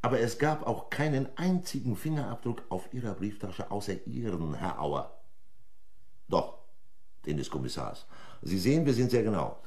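A composed, formal examining magistrate in a crime radio play. His low voice moves with animated intonation. He speaks with measured, condescending precision and a creaky edge. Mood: probing, smug. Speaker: actor.

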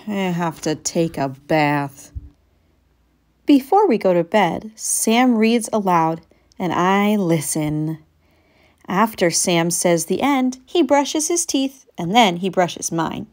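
A woman voicing an eager young male puppy in a storybook, narrating in an earnest, childlike, expressive way. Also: mid-range voice, slow pace. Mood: cheerful, affectionate. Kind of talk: storybook read-aloud.

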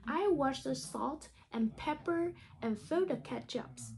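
A woman speaks in careful, halting non-native English, in the style of a teacher reading a children's storybook aloud. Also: sits high, wildly swooping pitch, creaky, darkish tone.

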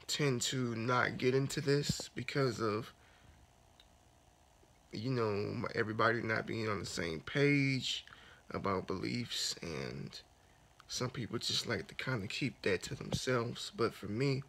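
A man in his thirties lying in bed in a dark bedroom, talking softly and earnestly into a phone held close in a raspy morning voice, then praying aloud. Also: medium pitch, a little hiss or hum. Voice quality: gravelly. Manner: soft, unhurried, earnest with many restarts. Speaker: fitness vlogger. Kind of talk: casual morning talk and spoken prayer. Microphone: phone camera held close.

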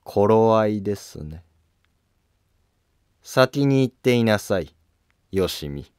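A man playing a coolly polite, scheming Japanese court noble with a calm, formal, deliberate delivery in a history drama. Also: on the low side, clean tone, no room sound, clean studio sound. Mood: cool, resolute.

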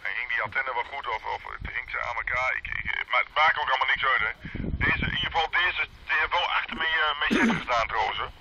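A man's voice: medium pitch, rapid-fire. Een man met een Nederlands accent praat haperend en gemoedelijk via de luidspreker van een portofoon, met herhaalde woorden. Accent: Dutch, from the Netherlands. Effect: heard through a handheld radio speaker. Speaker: amateur radio operator. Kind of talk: casual two-way radio chat. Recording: dull, very noisy handheld radio speaker outdoors.

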